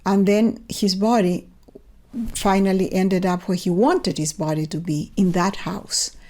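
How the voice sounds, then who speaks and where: An older woman from Chile with a Chilean accent, a native Spanish speaker, talking in a measured, thoughtful way as an interview guest on a remote call. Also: mid-range voice, fairly even intonation, a little mellow. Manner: thoughtful, measured storytelling with repeated restarts. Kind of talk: reflective conversational interview. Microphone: remote video call.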